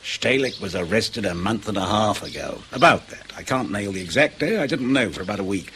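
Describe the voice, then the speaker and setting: Radio play: spy on the defensive, animated pitch, clipped and testy, light ambient noise. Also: male voice, a little fast. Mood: irritated, emphatic. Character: defensive, prickly British male field agent under questioning. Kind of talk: naturalistic radio drama acting.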